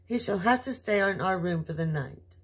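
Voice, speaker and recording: A woman's voice: fairly low pitch, animated, normal speed, husky. A woman voicing a particular, commanding storybook queen in a prim, dramatic manner. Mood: softening.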